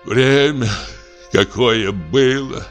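A man's voice: mid-range voice, drawn-out words, voice pushed up. Man acting a maudlin, drunken old stage comic. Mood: wistful, impassioned. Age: 50s. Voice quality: breathless, trembling. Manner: feverish theatrical lamenting. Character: drunken lonely 58-year-old comic actor, maudlin and frightened. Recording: music under the voice. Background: music.

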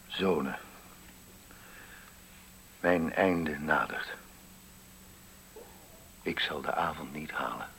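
An actor playing a stern, dying old miller in a radio play, solemn and halting, with a husky, worn voice. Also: male voice, a bass voice, a bit bright, slight background noise, full character voice. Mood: solemn, reproachful. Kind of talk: 1970s Dutch radio play acting.

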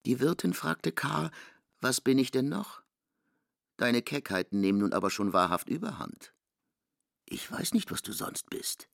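A man's voice: mid-range voice, lively intonation, measured pace, vocal fry, a bit bright, no room sound, clean studio sound. A man reading scripted literary narration, dialogue included, in a radio drama.

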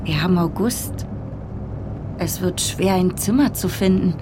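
A woman's voice: on the low side, measured pace, close to an everyday voice. An actress playing a timid, considerate wife and mother in a radio drama, talking in an everyday conversational voice. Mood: gentle, cautious. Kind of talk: German radio drama acting. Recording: clear car noise behind.

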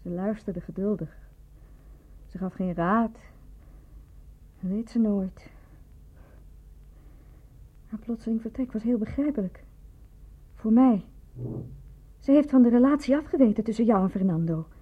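A husky-voiced woman in a radio play, bitter and evasive under questioning. She speaks with brooding, defensive drama at a middle pitch, and the recording is dull and lo-fi. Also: a little slow, pauses often. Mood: guarded recounting. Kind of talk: Dutch radio play acting.

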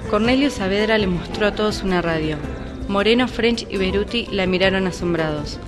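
A woman's voice: mid-range voice, moderate pace, over music. A young woman reading scripted narration in a student radio play. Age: teenager or young adult.

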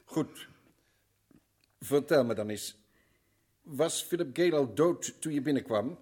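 An actor playing a shrewd, earnest old professor in a radio play, interrogating and growing excited. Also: male voice, on the high side, measured pace, breathy edge. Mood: probing. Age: older. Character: shrewd old male professor, eager sleuth.

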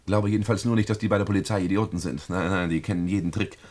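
A man's voice: low voice, steady tone, fast talker, a little mellow, lightly acted. A man acting an evasive, defensive murder suspect in a German radio play, hedging and uneasily insistent. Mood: tense, insistent.

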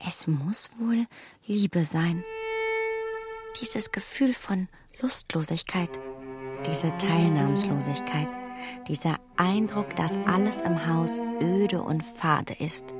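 A wry, languid young lady in a radio drama. She speaks slowly and fairly low, in a measured way, with loud music behind her. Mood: musing, listless.

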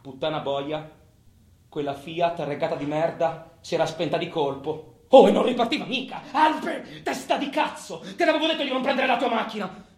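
An actor playing an agitated, foul-mouthed robber in an intense, colloquial theatrical monologue that swings from hushed to shouting. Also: male voice, high-pitched, normal speed, lightly acted. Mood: frantic, angry. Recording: roomy echo, faint background noise.

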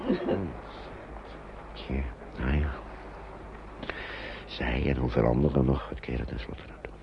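An actor playing a kindly, worried uncle in a wartime radio play, talking to children in a soothing, coaxing, hesitant way. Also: male voice, extremely low pitch, measured pace, vocal fry, exaggerated voice. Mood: weary resolve. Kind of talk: Dutch radio play acting. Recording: muffled, noisy old radio recording.

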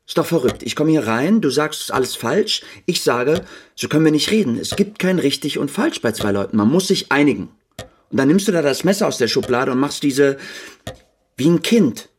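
An actor playing an anxious writer pleading with his partner in a naturalistic radio drama, halting and urgent. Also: on the high side, expressive, husky. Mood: alarmed, pleading.

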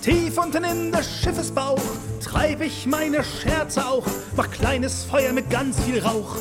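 Prankster ship goblin: playful rhythmic rhyming, highish voice, children's song with loud music. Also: female voice, steady tone, takes it slowly. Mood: mischievous glee. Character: mischievous little ship's goblin, gleeful prankster. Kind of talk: rhymed children's story song, spoken and sung.

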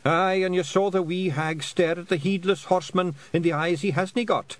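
A man voicing a brash little fairy chief with a Scottish accent, loud and high. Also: steady tone, normal speed, close to an everyday voice. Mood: boastful.

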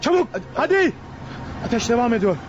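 A man's voice: very high voice, very animated, brisk, muffled recording, very noisy. An actor playing a desperate, wounded male outlaw in a radio play, barking threats and orders. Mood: menacing. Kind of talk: Turkish radio theatre acting.

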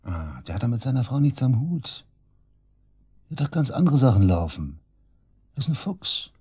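A man's voice: mid-range voice, very animated, moderate pace, warm tone, husky. An actor playing a brash, sarcastic murder suspect under police questioning in a radio drama, crude and defiant in his slangy talk. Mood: scornful, sarcastic. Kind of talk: naturalistic German radio drama acting.

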